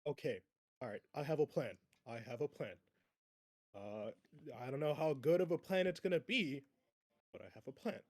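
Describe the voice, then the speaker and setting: A man's voice: medium pitch, moderate pace, pauses often, no echo, studio quality. A man chatting casually as a player in a tabletop roleplaying game, blunt and heavily profane.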